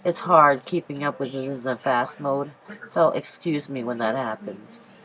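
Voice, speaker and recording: A woman with a very low voice, congested from a cold, narrates her makeup routine. She rambles casually, pausing on ums and restarting her sentences. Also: a bit bright, low-quality recording. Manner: chatty, rambling, many ums and self-corrections. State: stuffy from a cold. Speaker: beauty vlogger. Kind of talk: casual makeup tutorial voice-over.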